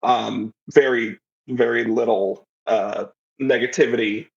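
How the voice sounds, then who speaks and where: A man's voice: mid-range voice, steady tone, measured pace, gravelly, highs cut off. A man giving a casual, thoughtful, rambling answer as an interview guest. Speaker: interview guest, audio drama writer and creator.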